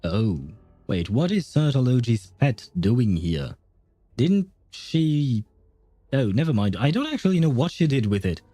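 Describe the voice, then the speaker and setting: A man's voice: medium pitch, big pitch swings, unhurried. A man livestreaming a video game with casual, chatty commentary, thinking aloud as he plays. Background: soft game music.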